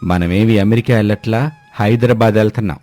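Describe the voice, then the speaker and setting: A man's voice: on the low side, fast talker, dark tone, no room sound, close to an everyday voice. A man playing a wry, exasperated old village husband in a comic family story, teasing his wife with sardonic reasoning. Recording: dry studio, music behind.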